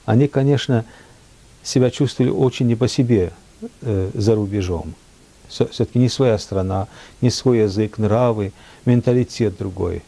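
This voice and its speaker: An older man from France reminiscing in a reflective, unhurried way, often pausing with hesitations. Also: fairly low pitch, warm tone. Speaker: speaker at a memorial. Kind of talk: reminiscing talk.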